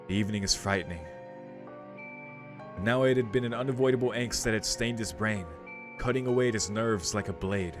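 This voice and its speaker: Low-voiced man reading a visual novel, music behind. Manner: dramatic reading mixed with casual slangy reactions. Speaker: video game streamer doing a playthrough. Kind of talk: reading visual novel text aloud with off-the-cuff reactions. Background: game music.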